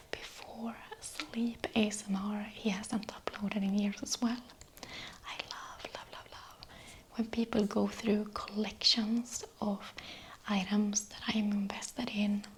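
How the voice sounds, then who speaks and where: Slow whispering Swedish woman. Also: mid-range voice, a little hiss or hum. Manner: soft whispering, unhurried, with small hesitations. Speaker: ASMR creator. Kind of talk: whispered ASMR Q&A.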